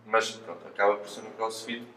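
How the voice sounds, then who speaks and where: Male physiotherapist interviewee, European Portuguese accent, fairly low voice, halting explanations, recorded at some distance from the mic. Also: fairly bright tone. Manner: hesitant, halting, explanatory delivery with repeated words. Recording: roomy echo, distant mic, faint noise.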